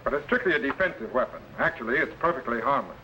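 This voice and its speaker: Male space-time explorer: calm authority, crisp measured orders, bright tone, faint hiss of an old serial. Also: mid-range voice, poor audio. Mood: calm, instructive. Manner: instructive, precise, measured commands. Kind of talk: 1950s science fiction serial acting.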